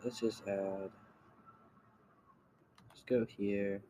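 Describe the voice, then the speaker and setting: A man giving a casual screen-recorded software tutorial, halting as he thinks aloud while he works. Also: on the low side, level tone, background noise. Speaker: tutorial creator.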